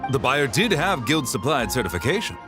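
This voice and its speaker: A woman's voice: a bass voice, sing-song, a little fast, over music. A woman playing an aggrieved merchant in a video game. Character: aggrieved female merchant at a trade expo.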